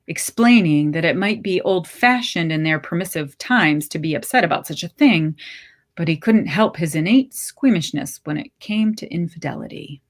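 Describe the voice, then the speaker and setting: Woman narrator, slightly low voice. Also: expressive, moderate pace. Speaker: story reader. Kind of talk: literary short story narration.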